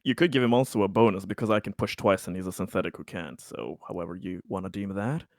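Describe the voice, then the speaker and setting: A man playing a tabletop roleplaying game, explaining his character's thinking in a casual, deliberate, wordy way. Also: low-pitched, no room sound, clean studio sound. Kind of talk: casual in-game discussion, describing his character in third person.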